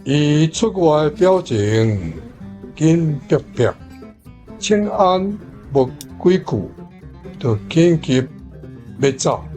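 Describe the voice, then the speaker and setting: A man's voice: fairly high pitch, very animated, extremely slow, long pauses, dark tone, soft background music. A man with a Taiwanese accent, a language teacher giving his translation.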